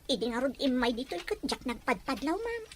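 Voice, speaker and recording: A woman's voice: high voice, big pitch swings, brisk, slight background noise. A woman acting an agitated, scheming woman in an Ilocano-accented radio melodrama. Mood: gossipy, urgent.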